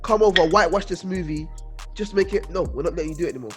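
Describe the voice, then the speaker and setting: A man's voice: high voice, very fast, loud music behind the voice. A British man co-hosting a podcast, giving emphatic, opinionated takes in casual group chat. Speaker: podcast co-host. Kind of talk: casual group debate about films.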